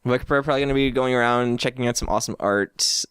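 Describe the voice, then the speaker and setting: A man co-hosting a casual podcast, easygoing and quick to laugh. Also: mid-range voice, a bit bright, clean tone, no room sound, studio quality. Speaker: podcast co-host. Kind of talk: casual chat.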